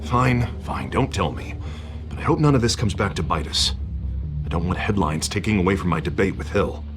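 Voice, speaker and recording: An actor playing an earnest, wary politician in a video game, an exasperated but loyal friend. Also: male voice, low voice, expressive, normal speed, over music.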